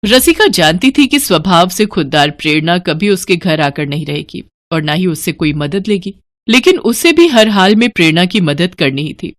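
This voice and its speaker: A woman's voice: fairly low pitch, animated, moderate pace, no echo. A woman narrating a scripted moral story as a storyteller.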